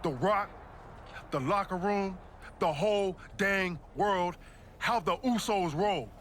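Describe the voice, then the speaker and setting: A man's voice: sits high, slow, fairly bright tone, slight background noise. A man playing a defiant, proud pro wrestler in video game cutscenes, slangy and emphatic as he rallies his side. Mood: rousing. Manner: slangy, emphatic motivational delivery.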